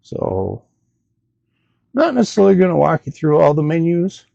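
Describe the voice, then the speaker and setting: A man gives a laid-back gadget demo in a folksy, hesitant manner. His voice is mellow and gravelly, moving freely up and down in pitch. He talks like a channel host showing a product off to viewers. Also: huge pitch swings, moderate pace, no room sound, lo-fi sound. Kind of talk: casual product demonstration.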